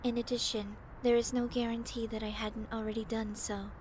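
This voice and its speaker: A woman playing a quiet, emotionless teenage girl in an anime fan dub, deadpan, precise and formal. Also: fairly high pitch, fairly quick, slight background noise, lightly acted. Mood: impassive.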